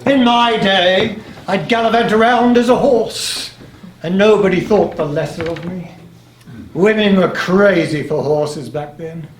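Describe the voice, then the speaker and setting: An actor playing a weary, boastful aging sea god in a live stage play, declamatory and grumbling. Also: male voice, sits high, a little slow. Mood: boastful, nostalgic. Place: live in a playhouse, far from the mic. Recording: distant, echoey theatre stage, faint noise.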